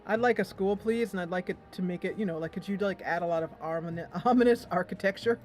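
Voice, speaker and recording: A woman's voice: fairly low pitch, fast, a little mellow, faint music behind. A woman hosting a casual let's-play, chatting playfully with explanatory asides as she reads game dialogue aloud. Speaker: gaming video host.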